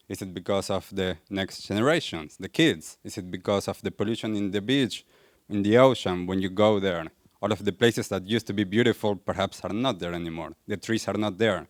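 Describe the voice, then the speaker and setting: A man's voice: lowish voice, no echo, studio quality. A young adult man from Argentina with an Argentine accent, giving an earnest talk to a live audience and asking them questions. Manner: earnest, asks the audience questions, light laughs. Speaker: wellness coach and speaker. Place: event venue in front of a live audience.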